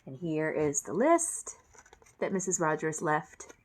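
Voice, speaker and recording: A woman reading children's books aloud in an expressive way and chatting to listeners about the pages and pictures. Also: sits low, slow. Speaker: reader of children's books for an online series. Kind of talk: animated read-aloud storytelling with comments to viewers.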